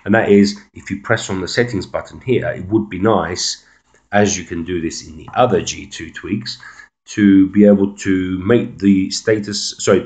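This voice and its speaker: A man giving a casual, unscripted phone walkthrough as an amateur reviewer, explaining things in a halting way. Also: low voice, darkish tone.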